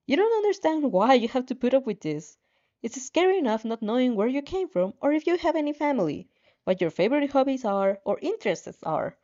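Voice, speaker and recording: A woman reading a story aloud dramatically in the second person, expressive and informal, sometimes tripping over her words. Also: on the high side. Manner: expressive, stumbles over words and giggles at slips. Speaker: fan fiction reader and reactor. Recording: dull lo-fi sound.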